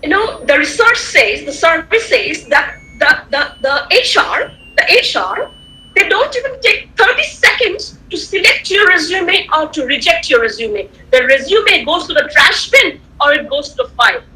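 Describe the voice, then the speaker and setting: A woman whose first language is Tamil, giving an animated online lecture to students, repeating points and checking that they follow. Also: very high voice, normal speed, rough recording. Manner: animated, repetitive, rhetorical questions to listeners. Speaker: guest lecturer. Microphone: computer mic on a video call.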